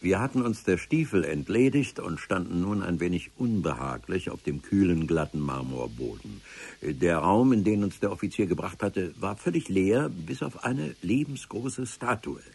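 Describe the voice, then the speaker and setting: A man's voice: deep voice, big pitch swings, normal speed, gravelly, darkish tone, slightly rough. A man narrating a radio adventure serial in the first person, with a dry, ironic storytelling manner. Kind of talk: scripted radio-play narration. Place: radio drama studio.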